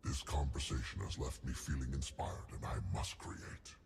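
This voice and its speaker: A brooding, grandiose artist, with an extremely low, creaky voice. His delivery is formal, deliberate and theatrical, with faint noise behind it. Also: a little mellow. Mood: brooding, inspired. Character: brooding, self-absorbed male artist, grandiose and ominous. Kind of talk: scripted video game dialogue.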